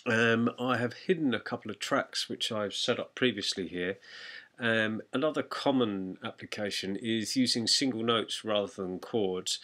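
A man with a crisp, bright voice sits a little low in pitch as he talks through a music software tutorial. His delivery is conversational and explanatory, like an informal lesson recorded as a voice-over.

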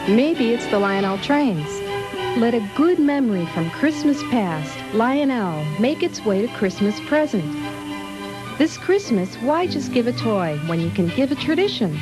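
A woman's voice: on the high side, big pitch swings, a little slow, rough recording. An American woman reading a sentimental scripted retail advert as a voice-over announcer. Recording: music bed behind, TV advert.

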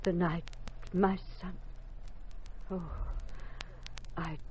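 An actress playing a calm, grieving mother who has visions, in a 1950s radio drama. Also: on the low side, drawn-out words, slightly rough, full character voice. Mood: sorrowful, entranced. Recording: dull 1950s radio recording.

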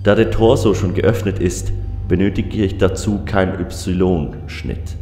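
An actor playing a weary, troubled coroner in a German audio drama, speaking in a deliberate, brooding, clinical way. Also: male voice, low-pitched, dark tone. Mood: clinical, puzzled. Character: weary, troubled male small-town coroner. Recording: echoey hall reverb, music behind.

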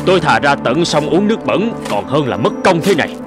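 A man's voice: fairly high pitch, big pitch swings, a little fast. A man playing an anxious, stubborn gold prospector in a cartoon, with a fretful, complaining manner. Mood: exasperated. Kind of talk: cartoon dub acting. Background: music.

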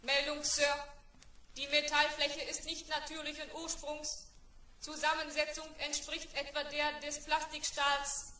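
Obedient radio-play robot: high, monotone, clipped, emotionless reports. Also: measured pace, roomy sound, recorded from a distance, a little hiss or hum. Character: literal-minded obedient robot R7, flat and emotionless.

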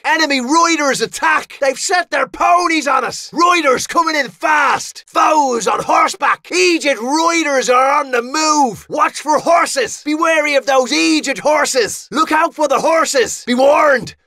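An actor playing a cocky, wry medieval sellsword with an Irish accent and colourful, theatrical delivery in a video game. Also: male voice, very high-pitched, a little slow, few pauses, sharp tone, no echo, shouting, clean studio sound, heavily acted. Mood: rousing, urgent. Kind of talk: video game battle barks and voice lines.

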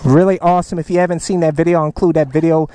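A man's voice: a little high, fairly even intonation, rapid-fire, warm tone, clean tone. A man reviewing a motorcycle backpack outdoors in a casual, enthusiastic, salesy way, tossing in slang like "man" and "baby" and plenty of fillers. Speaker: motovlogger reviewing gear. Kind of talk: casual moto vlog review. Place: outdoors in a parking lot beside his motorcycle.

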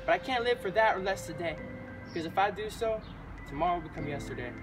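A man's voice: high-pitched, lively intonation, fast. A man in his twenties performing rhymed spoken word poetry with a rhythmic, earnest and emphatic delivery. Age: 20s. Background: music bed.

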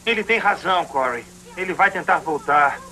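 A man's voice: fairly high pitch, expressive, moderate pace, background noise, close to an everyday voice. A man voicing a troubled teenage older brother in a dubbed cartoon, earnest and emotional. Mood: remorseful. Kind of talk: dubbed cartoon drama acting.

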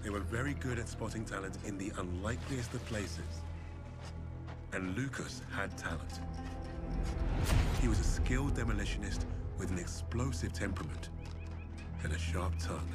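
A man playing a wry wartime officer who narrates a comrade's story in a measured, dryly sardonic way for a war game. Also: fairly low pitch, over loud music, lightly acted. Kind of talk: cinematic scripted narration.

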